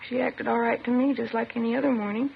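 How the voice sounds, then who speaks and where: An actress playing a shaken, bitter teenage girl in a 1950s radio drama, halting and subdued. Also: fairly high pitch, fairly even intonation, vocal fry. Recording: muffled, slightly noisy old radio recording.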